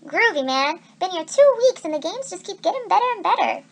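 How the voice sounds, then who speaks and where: A laid-back boy gamer from the seventies, voiced by a woman, talks in a high voice. He is a storybook thirteen-year-old, slangy and dismissive. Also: sing-song, fairly quick, heavily acted. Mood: content.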